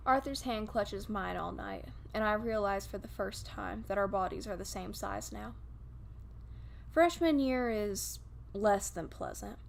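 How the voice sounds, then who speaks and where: A woman narrates a written story aloud. Her voice has a noticeable creak as she works through the scripted prose. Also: mid-range voice, slight background noise. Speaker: podfic reader.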